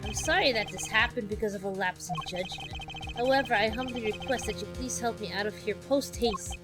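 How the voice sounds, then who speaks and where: A woman's voice: fairly high pitch, brisk, music in the background, lightly acted. A woman voicing a formal, sceptical male prosecutor from a video game, speaking in a dry, restrained manner. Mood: curt, formal.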